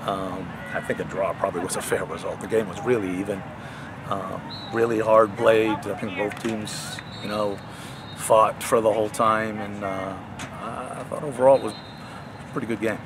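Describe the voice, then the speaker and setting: Halting older coach, outdoors. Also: male voice, on the low side, fairly even intonation, background noise. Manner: halting, many restarts and fillers. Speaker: head soccer coach. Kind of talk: post-game interview. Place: outdoor soccer field at night.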